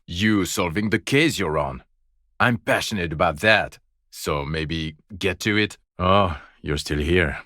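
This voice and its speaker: Jaded male detective, extremely low voice, dry studio sound, sarcastic, exasperated, foul-mouthed, video game character. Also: sharp tone, dry recording, full character voice. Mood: bitter sarcasm.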